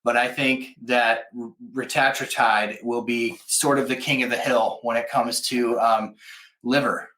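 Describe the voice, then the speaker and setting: Bright-voiced man in an echoey room. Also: medium pitch, steady tone, a little slow. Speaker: host of a health news live stream. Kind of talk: casual live-stream commentary. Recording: echoey, roomy sound.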